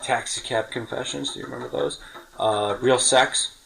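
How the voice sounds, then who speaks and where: A man's voice: medium pitch, steady tone, moderate pace, creaky, a crowd in the background, low-quality recording. A middle-aged man hosting a podcast, chatting casually and telling detailed stories from memory. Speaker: podcast host. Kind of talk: casual reminiscing conversation.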